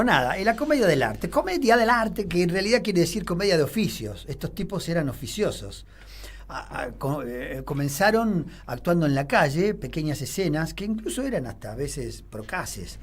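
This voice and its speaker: Husky Rioplatense man, rambling lecture. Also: a little high. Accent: Rioplatense Spanish. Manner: rambling with many fillers and restarts. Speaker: theater teacher guest. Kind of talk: relaxed radio interview, explaining theater history. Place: radio studio.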